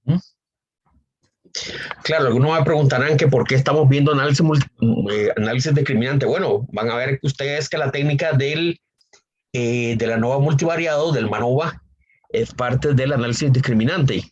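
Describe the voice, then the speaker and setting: Male statistics lecturer, hoarse with a cold, mid-range voice, filler-heavy delivery, dull lo-fi sound. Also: medium pitch. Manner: unhurried, with frequent fillers and repetitions. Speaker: university statistics professor. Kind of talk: online lecture.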